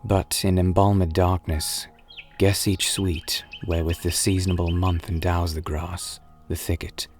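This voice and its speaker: A man with a low, deep voice reads romantic poetry aloud. His delivery is very unhurried, solemn and wistful, with drawn-out, dramatic phrasing. Soft music and birdsong play behind the reading. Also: little pitch movement. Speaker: poetry reader and narrator.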